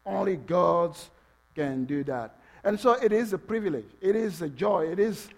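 A man from Togo with a French-English accent preaching a sermon in a church, halting with fillers, then building to passionate emphasis. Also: high voice, expressive. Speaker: pastor. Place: church sanctuary.

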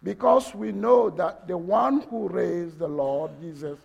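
French-accented preacher, gravelly and animated. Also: male voice, sits high. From Togo. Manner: halting, many uh fillers, rising to passionate emphasis. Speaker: pastor. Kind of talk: sermon. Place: church sanctuary.

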